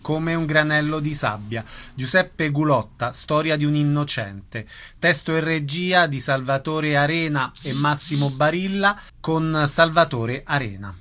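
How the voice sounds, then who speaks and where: A man's voice: a little high, measured pace, pushed and bright. A man hosting a radio programme, introducing a show and interviewing a guest. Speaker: radio host.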